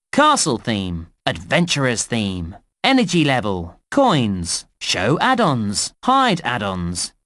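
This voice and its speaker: Casting: excitable game announcer for kids, wildly swooping intonation, measured pace, theatrical, dry close studio sound. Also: male voice, a little high, dry recording, heavily acted. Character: excitable male theme park announcer narrating everything. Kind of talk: scripted game voice-over, news flashes and menu prompts.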